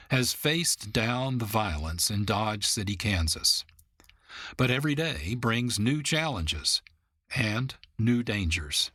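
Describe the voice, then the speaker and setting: A man's voice: medium pitch, a bit bright, no room sound, studio quality. A man with an American accent reading scripted narration as the host and announcer of a radio show.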